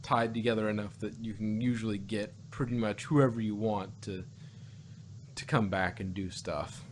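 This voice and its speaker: A man hosting a casual review chat, halting and rephrasing as he thinks out loud. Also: on the low side, steady tone. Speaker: audio drama review podcast host.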